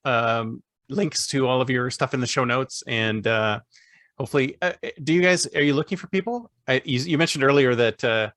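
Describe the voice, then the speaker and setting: A man hosts a podcast interview in a mid-pitched voice, chatty and encouraging. His recording is dry and close, with no room sound around it. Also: fairly bright tone, no room sound. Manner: upbeat, quick praise and encouragement. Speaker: podcast host. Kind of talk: casual interview chat.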